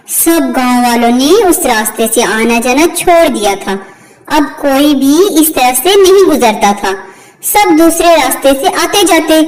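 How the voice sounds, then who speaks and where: A woman narrating a scripted moral story for a cartoon as the storyteller. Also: high voice. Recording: long hall-like reverb.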